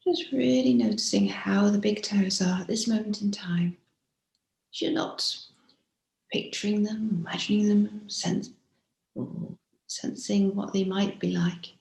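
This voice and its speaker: A woman guiding a body scan meditation as a teacher, speaking gently and softly, unhurried, with frequent pauses and breathy phrase endings. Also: mid-range voice, fairly even intonation. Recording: roomy, echoing room sound.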